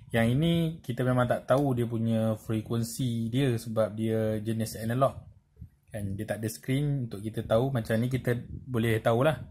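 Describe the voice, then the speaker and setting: A man's voice: lowish voice, warm tone. Malaysian man explaining, noisy amateur recording. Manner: halting, step-by-step explaining with frequent check-in tags. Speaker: presenter of a tutorial. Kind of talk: informal hands-on tutorial.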